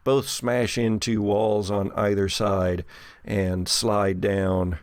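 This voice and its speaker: A man's voice: lowish voice, little pitch movement, slow, clear, resonant tone, no echo, studio quality. A man improvising narration as game master of a horror tabletop game.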